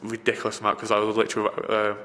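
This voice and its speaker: Man, low flat voice, rambling with fillers, roomy reverb, faint hiss. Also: very fast. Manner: rambling, frequent fillers and restarts. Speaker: film location assistant. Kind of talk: casual interview answers. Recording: reverberant room, faint background noise.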